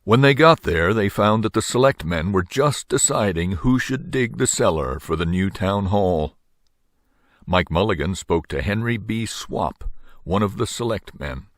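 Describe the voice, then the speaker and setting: Deep-voiced older man reading a storybook. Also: animated, unhurried, dry recording, clean studio sound. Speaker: storybook reader. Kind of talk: children's story read-aloud.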